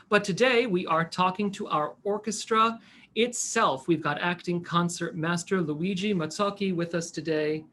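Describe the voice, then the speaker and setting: High-voiced, enthusiastic male host. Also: a bit bright. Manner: enthusiastic, effusive. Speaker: interview host. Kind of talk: casual, chatty hosting. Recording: faint background noise.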